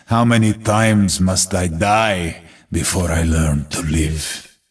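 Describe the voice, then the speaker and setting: An actor playing a weary, resolute warrior who accepts death, speaking solemnly in a husky voice as a video game character. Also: male voice, low voice, fairly even intonation, heavily acted. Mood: weary, accepting. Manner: solemn, measured delivery.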